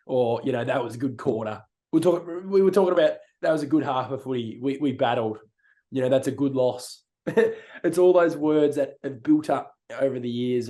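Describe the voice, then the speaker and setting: A hoarse young man in his early twenties with an Australian accent, co-hosting a casual chat, talking in an excited, rambling way and laughing often. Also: medium pitch. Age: young adult. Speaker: podcast co-host.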